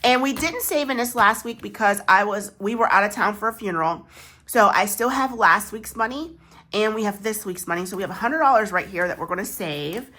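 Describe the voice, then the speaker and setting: A woman's voice: mid-range voice, lively intonation, brisk, sharp tone. Stuffy-voiced chatty American woman. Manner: chatty, rambling, self-deprecating asides. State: congested and stuffy from a chest cold. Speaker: savings challenge vlogger. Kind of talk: casual chat to camera while cash stuffing.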